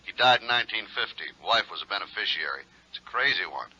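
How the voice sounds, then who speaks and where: An actor playing a brisk, businesslike insurance man in a 1950s radio drama, terse and clipped. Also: fairly low pitch, animated, bright, forceful tone, slightly rough, exaggerated voice. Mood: brisk, urgent. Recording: dull 1950s radio recording.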